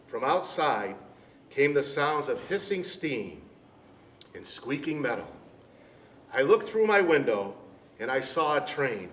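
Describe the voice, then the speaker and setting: A man reading a storybook to children in deliberate, separated phrases. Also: medium pitch, fairly bright tone. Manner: deliberate phrasing with many pauses. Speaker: storybook reader. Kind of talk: scripted storybook narration for children. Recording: echoey room, distant mic, background noise.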